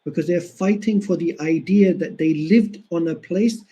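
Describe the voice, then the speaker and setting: A man's voice: on the high side, normal speed, warm tone. A man giving an earnest history lecture, driving points home by repeating them. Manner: earnest, emphatic repetition. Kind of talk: explanatory lecture.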